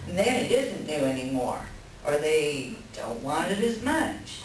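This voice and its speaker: A woman's voice: mid-range voice, close to an everyday voice. A woman playing a world-weary, blunt mother fed up with her husband in a folksy play reading. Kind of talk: staged play reading, conversational dialogue. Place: library reader's theater reading. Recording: echoey room from far off, faint noise.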